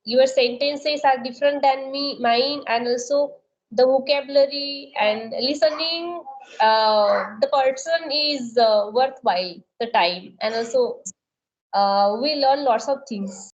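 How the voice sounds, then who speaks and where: High-voiced Indian woman tutor. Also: highs cut off. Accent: Indian English. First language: Marathi. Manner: slow, careful, repeats questions. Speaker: English tutor. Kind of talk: conversation practice session.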